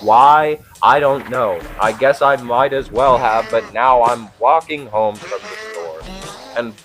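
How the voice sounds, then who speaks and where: A man's voice: medium pitch, takes it slowly, fairly bright tone, faint music behind. A young man delivering a scripted absurdist comic monologue in a theatrical, sarcastic, exasperated way, swearing freely like a mock stand-up host. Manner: theatrical, profane, exasperated sarcasm with rhetorical questions.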